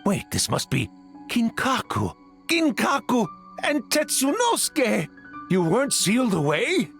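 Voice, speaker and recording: A woman's voice: on the high side, huge pitch swings, unhurried, creaky, faint music behind, heavily acted. A woman voicing a cocky, flippant old trickster tanuki spirit in a video game, pompous and breezy. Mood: surprised. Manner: pompous, breezy self-excusing.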